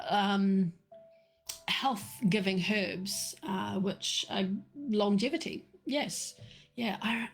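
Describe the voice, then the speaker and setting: Middle-aged woman host, slightly croaky, slow meandering delivery, soft music under. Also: medium pitch. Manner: slow, meandering, many fillers and restarts. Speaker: livestream host answering viewers. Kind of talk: casual live chat about tea.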